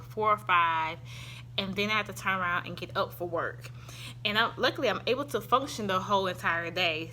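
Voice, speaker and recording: A woman's voice: mid-range voice, sharp tone, slight background noise, low-quality recording. A young woman with a Southern US accent, chatting casually through a product review at home and recorded on a handheld phone, rambling with many ums. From Southern United States. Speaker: vlogger reviewing supplements. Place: her home, indoors.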